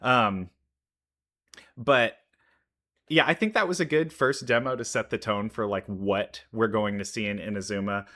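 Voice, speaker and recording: A man's voice: lowish voice, a little slow, pauses often, pushed and bright. A man giving casual reaction commentary, thinking aloud with analytical asides. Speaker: reaction video host.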